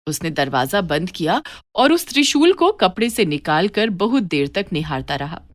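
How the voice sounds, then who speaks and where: A woman's voice: on the low side, sing-song, moderate pace, slight background noise. A woman narrating a scripted moral story for children as a storyteller.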